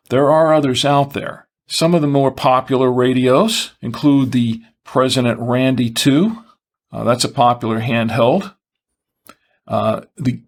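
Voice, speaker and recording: A man presenting explanatory product commentary, speaking in measured, deliberate phrases with pauses. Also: medium pitch, vocal fry. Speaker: presenter of a two-way radio show.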